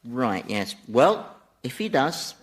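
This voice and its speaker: An actor playing an exasperated, sardonic Satan in a comedy radio drama, grumbling and swearing in a British accent. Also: male voice, mid-range voice, very animated, takes it slowly. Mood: dry, sardonic. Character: exasperated, sardonic Satan, weary ruler of hell.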